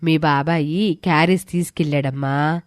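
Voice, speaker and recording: A woman's voice: low-pitched, fairly even intonation, moderate pace, no echo, clean studio sound, voice pushed down, close to an everyday voice. A woman voicing a kindly, insistent village aunt with a motherly, coaxing manner in a moral story. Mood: caring, insistent.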